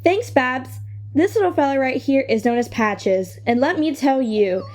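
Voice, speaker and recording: A woman's voice: sits high, animated, moderate pace, a little mellow. A woman playing a gushing field reporter in a mock live news report. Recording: clearly noisy, animal sounds behind.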